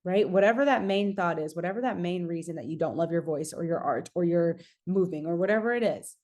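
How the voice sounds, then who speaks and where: A woman's voice: fairly low pitch, fairly quick, darkish tone. A woman hosting a casual motivational podcast, speaking emphatically, repeating key phrases and checking in with the listener as she coaches. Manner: acts out own thoughts as quoted lines. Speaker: podcast host and singing artist coaching listeners.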